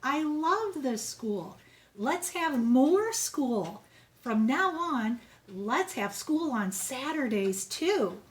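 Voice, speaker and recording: A woman voicing a proud, enthusiastic male school principal in a storybook, making drawn-out, emphatic declarations. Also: high voice, sing-song, heavily acted. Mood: proud, excited.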